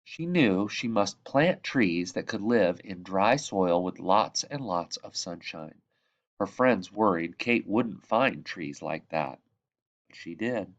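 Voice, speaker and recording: A man reading a children's picture book aloud in measured phrases that drop softly at the ends of sentences. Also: sits low, animated, highs cut off. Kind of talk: read-aloud storybook narration.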